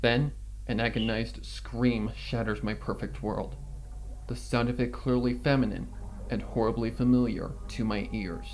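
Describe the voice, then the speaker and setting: A man reading a horror story in a dramatic, deliberate scripted narration. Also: mid-range voice, fairly even intonation, darkish tone, rough recording. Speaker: fan fiction reader. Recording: music behind the reading. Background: background music.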